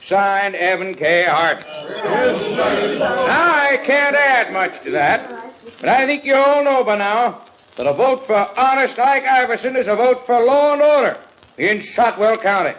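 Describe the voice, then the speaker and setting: An actor playing a crafty, back-slapping small-town politician giving a folksy, declamatory campaign speech in an old-time radio Western. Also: male voice, very high-pitched, lively intonation, measured pace. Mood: hearty, folksy. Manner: folksy, glad-handing, declamatory. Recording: muffled old radio recording, crowd, noise.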